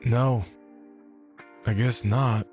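Guy doing a worked-up younger dude in a story, disbelieving, voice pitched up, light music behind. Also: moderate pace, lightly acted. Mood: meek. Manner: exclaiming, sputtering, casual swearing. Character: incredulous, excitable younger man questioning an elder relative. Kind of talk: dramatized story dialogue.

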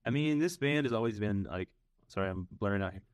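A man in his thirties, a band's bass player, answering interview questions in an easygoing way. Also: lively intonation, brisk, clear, resonant tone, no echo. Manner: easygoing, lots of "you know" and "like". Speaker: band bassist, guest. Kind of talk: casual interview.

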